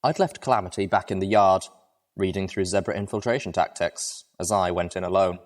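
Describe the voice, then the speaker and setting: A man's voice: sits low, a little fast. A man reading a story aloud as narrator, in a dramatic first-person style.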